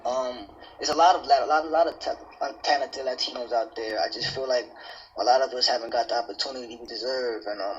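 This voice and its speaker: A man's voice: medium pitch, sharp tone. A man from New Jersey talking casually and earnestly as an interview guest, in a motivational way. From New Jersey, United States. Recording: dull, muffled lo-fi sound.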